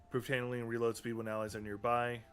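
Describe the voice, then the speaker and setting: Casual male streamer, mid pitch, hoarse and tired-voiced, rambly with ums, faint background music. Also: flat delivery, fast talker, a bit bright. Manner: rambling, many ums and restarts, talking through menus. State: losing his voice. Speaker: game streamer. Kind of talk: casual live-stream commentary.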